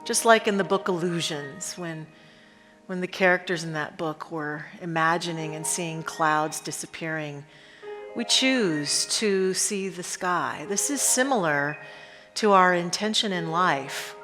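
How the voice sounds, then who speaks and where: A woman leading a guided meditation in a reverent, unhurried manner, pausing deliberately between short phrases. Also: on the low side, fairly bright tone. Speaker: spiritual leader guiding a meditation. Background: instrumental music.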